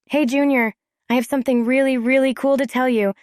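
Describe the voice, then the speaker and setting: Teenage girl worker, earnest and eager, higher pitch, audio drama, voiced by an adult woman. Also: fairly even intonation, fairly quick, a little mellow, clear, resonant tone, no echo, clean studio sound, close to an everyday voice. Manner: eager, direct.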